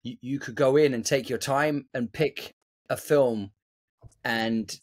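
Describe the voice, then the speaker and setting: Man, mid-range voice, podcast co-host, animated, acts out voices. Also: medium pitch, takes it slowly. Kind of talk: casual podcast chat.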